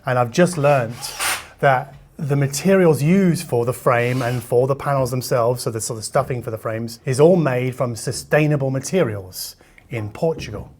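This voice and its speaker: A man's voice: mid-range voice, normal speed, a little mellow, a little hiss or hum. A man hosting an audio vlog, explaining things in a casual, conversational way. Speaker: host of an audio review channel.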